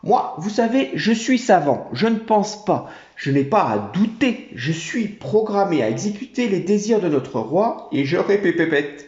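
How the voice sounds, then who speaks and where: A man's voice: high-pitched, very animated, unhurried, few pauses, a little mellow. A man voicing a fawning, chattering male monkey general who collects taxes. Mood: boastful. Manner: obsequious, chattering. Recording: roomy, dull home recording.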